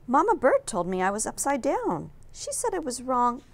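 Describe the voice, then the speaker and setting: A young girl bat from a children's storybook, acted out by a woman. She is earnest and excitable, exclaiming with wide-eyed wonder in a very animated, swooping voice. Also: high-pitched, moderate pace, pitched above the performer's own voice, exaggerated voice. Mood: puzzled, insistent.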